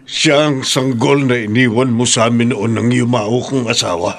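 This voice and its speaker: An actor playing a grieving, devout older father in a Filipino radio drama, speaking in a sorrowful, halting way with gentle reproach. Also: mid-range voice, fairly even intonation, a little hiss or hum, close to an everyday voice. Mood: reproachful. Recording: radio drama with music underneath.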